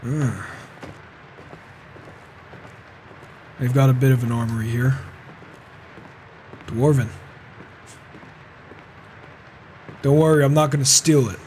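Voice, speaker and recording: A man's voice: medium pitch, steady tone, brisk, a little mellow, close to an everyday voice. Sardonic wandering warrior roleplayed by a man. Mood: musing, casual. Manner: casual, sardonic. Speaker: roleplaying gamer. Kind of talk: in-character game roleplay. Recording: faint noise, flawed microphone.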